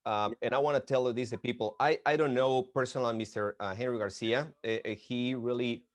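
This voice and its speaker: Mexican guy interviewing, spanish first language, halting, hunting for words, dry sound no echo. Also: medium pitch, steady tone. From Mexico City, Mexico. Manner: halting, searching for words in English. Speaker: interviewer, boxing gym representative. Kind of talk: casual video interview.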